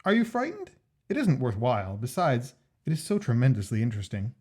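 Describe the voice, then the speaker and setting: A man voicing a breezy, keen and unafraid gentleman sportsman in a storybook, with a brisk, eager, nonchalant manner. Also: mid-range voice, wildly swooping pitch, slightly rough. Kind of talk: storybook character dialogue.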